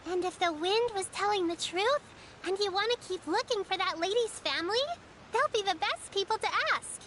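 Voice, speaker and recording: A woman's voice: very high-pitched, moderate pace, a bit bright, slight background noise. A woman voicing a polite, helpful, precocious little girl in a video game, speaking earnestly. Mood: helpful, cheerful.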